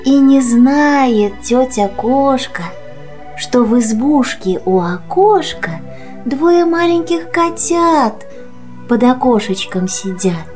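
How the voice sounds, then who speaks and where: A woman's voice: sits high, lively intonation, takes it slowly, darkish tone, soft background music. A woman narrating a children's tale in theatrical verse as the storyteller.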